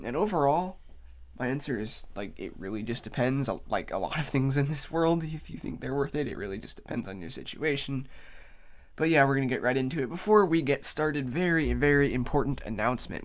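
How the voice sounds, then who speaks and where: A man with a cold, sounding tired, gives a casual unscripted explainer as a video host, rambling loosely as he goes. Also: mid-range voice. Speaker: YouTube host.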